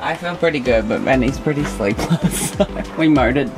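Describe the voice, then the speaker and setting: A woman's voice: rumbling low, animated, moderate pace, music in the background. An Australian woman in her thirties chatting casually to a handheld camera outdoors on a boat. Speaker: sailing vlogger. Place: outdoors on a sailboat cockpit at sea. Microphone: handheld action camera at arm's length.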